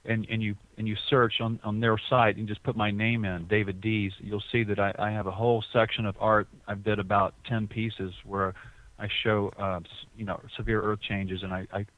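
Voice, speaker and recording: A man with a fairly low voice talks as a guest on a call-in interview, rambling and often restarting his sentences. His voice comes through a tinny, narrow phone line. The sound is dry and close, with no room around it. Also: little pitch movement, normal speed, dry recording. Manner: rambling, frequent restarts and repeated words. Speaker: guest artist. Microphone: telephone.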